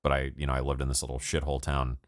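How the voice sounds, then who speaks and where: A young man with a very low voice rambles through loose, profane chat on a live stream. He laughs often, and his voice sounds dry and close to the mic with no room around it. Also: completely flat delivery, rapid-fire, dry recording. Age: 20s. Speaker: music producer streaming live. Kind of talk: casual livestream chat with viewers.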